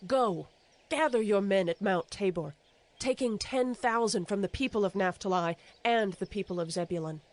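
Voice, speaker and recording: Female actor for stern ancient prophetess and judge, Bible radio play; mid-range, deliberate, commanding. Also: mid-range voice, close to an everyday voice. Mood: solemn commanding. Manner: declamatory, deliberate. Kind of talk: dramatized audio Bible acting. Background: music.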